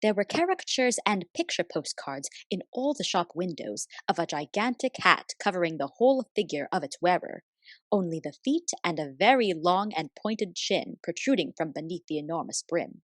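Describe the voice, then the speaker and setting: Earnest, lower-voiced detective's devoted assistant. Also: female voice, sing-song, normal speed, no room sound. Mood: wry. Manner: earnest, literary storytelling. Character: devoted young female detective's assistant, admiring narrator. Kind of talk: radio play narration and acting.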